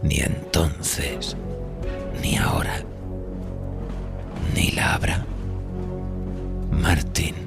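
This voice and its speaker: Very deep gravelly male storyteller. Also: fairly even intonation, extremely slow, pauses often. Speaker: narrator. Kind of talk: dramatic scripted horror story narration. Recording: faint music behind the voice.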